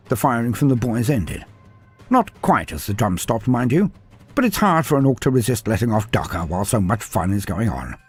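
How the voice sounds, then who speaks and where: A man delivers dramatic fantasy narration with a highly animated, swooping delivery. His voice is slightly husky, and his storytelling is deliberate and theatrical, with faint music underneath.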